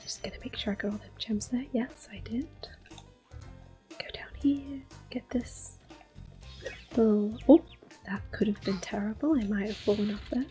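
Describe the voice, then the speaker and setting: A woman whispering close to the mic as she calmly plays through an old game and recalls it fondly. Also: a little high, normal speed. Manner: soft whispering, gently nostalgic. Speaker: ASMR gameplay host. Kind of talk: whispered ASMR game commentary. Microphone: close sensitive mic. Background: faint game music.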